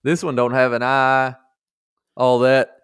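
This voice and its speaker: A man's voice: mid-range voice, level tone, slow pace, a bit bright, clear, resonant tone, no room sound, clean studio sound. A man chatting casually in a folksy, joking way as a podcast host. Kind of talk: casual chat.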